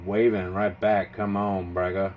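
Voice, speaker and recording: A man's voice: deep voice, little pitch movement, measured pace, narrow, tinny audio. A man operating a CB radio, calling out slangy, repetitive call signs in a chanting way. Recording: clearly noisy radio ambience.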